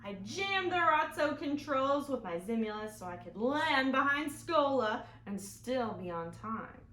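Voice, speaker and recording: A woman voicing an earnest storybook schoolboy who spins excuses in an emphatic, drawn-out way. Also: high voice, sing-song, sharp tone, exaggerated voice. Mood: excited, dramatic. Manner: emphatic, drawn-out storybook delivery with made-up words. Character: earnest, excuse-making schoolboy telling a tall tale. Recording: echoey room, clear background noise.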